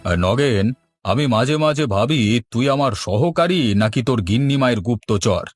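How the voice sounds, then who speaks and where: A man's voice: medium pitch, animated, normal speed, close to an everyday voice. A man voicing a blustering, henpecked village landlord in a cartoon. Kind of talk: cartoon voice acting. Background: music.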